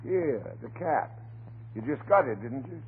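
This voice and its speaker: Folksy cop, scratchy old radio. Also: male voice, medium pitch, expressive, a little slow. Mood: explaining. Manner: garrulous, folksy, colloquial. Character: folksy, chatty Irish-American off-duty police desk sergeant neighbor. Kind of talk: 1930s radio acting. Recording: scratchy, hissy, narrow-band 1930s radio recording.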